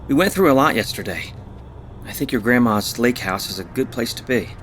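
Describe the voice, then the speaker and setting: An actor playing a grave, concerned friend, speaking earnestly in naturalistic audio drama. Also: male voice, medium pitch, expressive, fairly quick, fairly bright tone, a little hiss or hum, natural voice. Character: concerned, grave man comforting a shaken friend.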